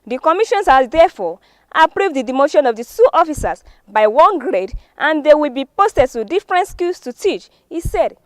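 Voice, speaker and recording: A woman's voice: high-pitched, sing-song, normal speed, no echo. A woman with a Nigerian accent presenting a newspaper review, reading formally from the stories with occasional stumbles. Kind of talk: scripted news reading. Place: studio.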